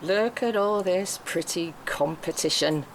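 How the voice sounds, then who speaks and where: A woman's voice: fairly low pitch, unhurried. A woman playing a blunt, brash, middle-aged aspiring actress with a wisecracking manner in a table read. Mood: brash, curious. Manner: blunt, exclamatory. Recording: faint room noise.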